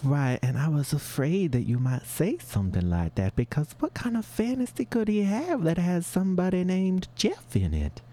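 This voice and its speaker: A man playing a fussy, flustered middle-aged married woman with a put-on regional accent, in a high, breathy voice with dramatic, prim delivery. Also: huge pitch swings, moderate pace, hardly any pauses, mellow. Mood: saucy. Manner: dramatic, prim, full of double meanings. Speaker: advice-show caller. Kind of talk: improvised comedy sketch.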